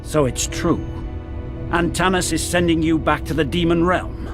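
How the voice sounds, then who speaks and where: A man's voice: a little high, fairly even intonation, moderate pace, music in the background, lightly acted. Man acting a hard, blunt military commander. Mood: stern, vengeful. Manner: stern, blunt. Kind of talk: video game fantasy drama acting.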